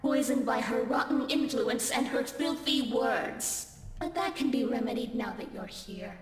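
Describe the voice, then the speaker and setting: A man voicing a sinister ghostly woman who lures the hero home, speaking in a slow, menacing way. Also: very high voice, voice pushed up. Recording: long echoey reverb, faint music.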